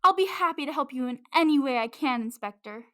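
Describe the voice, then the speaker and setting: A woman acts a polite lady visitor in a radio mystery. Her voice sits notably high and is delivered in a proper, theatrical manner. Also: expressive, quick pace, a bit bright. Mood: anxious, helpful. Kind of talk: amateur radio drama acting.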